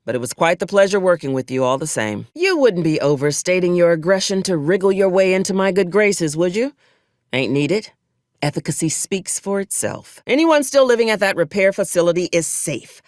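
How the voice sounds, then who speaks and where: A stern military acquisitions officer from a video game, played by an actor, pragmatic and coolly menacing. The delivery is clipped and formal with a sardonic frontier turn, moving widely in pitch. The sound is dry, with no room around it. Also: animated, a little fast, dry recording, close to an everyday voice. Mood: wry, sardonic. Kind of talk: scripted video game dialogue.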